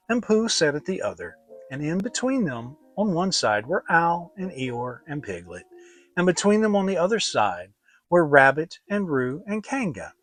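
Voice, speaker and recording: Male narrator for a children's audiobook: lively intonation, soft music underneath. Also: a little high, husky, no echo. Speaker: storybook narrator. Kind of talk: dramatic children's story narration.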